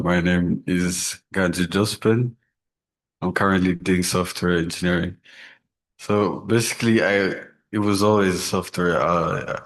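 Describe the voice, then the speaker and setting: A young man, a student, informally introducing himself and his studies. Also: low voice. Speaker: student audience member.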